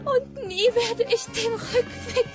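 Radio drama hostage: young, dazed, distressed, very high voice, extremely slow, dreamy delivery. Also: female voice. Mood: dreamy despair. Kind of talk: radio play acting. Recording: music behind the voice.

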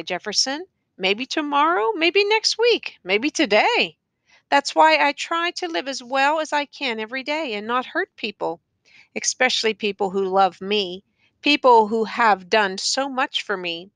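A woman narrator acts out a male schoolteacher in an audiobook novel. He is earnest, insistent and exasperated, speaking in educated phrases. The voice is bright and forward, recorded very dry. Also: a little high, animated, a little slow, dry recording. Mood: pleading. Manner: pleading, insistent, educated diction.

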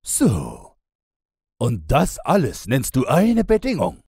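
A man's voice: mid-range voice, wildly swooping pitch, takes it slowly, vocal fry, dark tone, husky, no echo, clean studio sound, voice pushed up, full character voice. A man voicing a plucky soldier in a fairy-tale audiobook, skeptical yet game, with an incredulous, jaunty delivery.

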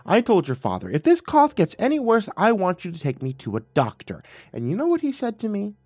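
A man's voice: sits high, wildly swooping pitch, brisk, a little mellow, husky, no room sound, voice pushed up, exaggerated voice. A man voicing an older mother who is passive-aggressive, pouty and pointed, in a dramatized story. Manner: accusatory, guilt-tripping, pointed.

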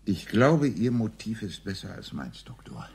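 Older courteous gentleman, low husky voice, formal, slightly pompous, radio crime drama. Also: sing-song, a little slow, heavily acted. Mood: dryly courteous. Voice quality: husky, mellow. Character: urbane, brisk, formally courteous male card-player.

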